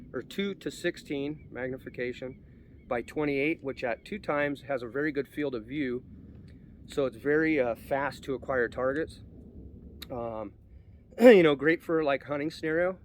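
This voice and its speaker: A man's voice: medium pitch, slight background noise. Sniffly man with a cold rambling. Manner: rambling, many ums and restarts. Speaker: air gun reviewer. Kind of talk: casual outdoor gear review. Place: outdoors at a shooting spot.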